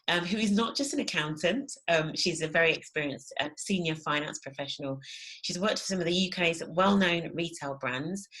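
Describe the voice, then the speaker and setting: Low-voiced woman hosting an interview. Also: low-quality recording. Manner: warm, enthusiastic, filler-heavy. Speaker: interview host. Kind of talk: casual interview conversation.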